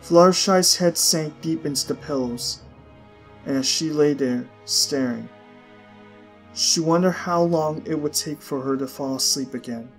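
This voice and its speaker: A man's voice: a little high, unhurried, faint music behind. A man reading a story aloud as a scripted audiobook narration.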